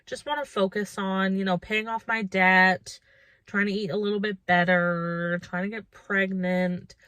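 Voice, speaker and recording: A woman's voice: medium pitch, flat delivery, unhurried, a bit bright, clear, resonant tone, no room sound. A woman of about thirty chatting casually and reflectively to her viewers as a lifestyle vlogger, informal and thinking aloud.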